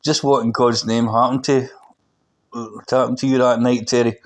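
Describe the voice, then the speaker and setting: A man's voice: medium pitch, little pitch movement, moderate pace, lightly acted. Man voicing a matey, concerned pastor. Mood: puzzled. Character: concerned Glaswegian pastor, matey old friend, male.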